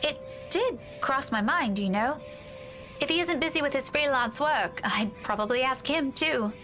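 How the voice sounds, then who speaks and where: A woman playing an earnest, dutiful young woman in a visual novel game, conversational and gently coaxing. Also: high voice, lively intonation, moderate pace. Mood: earnest, persuading. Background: game music.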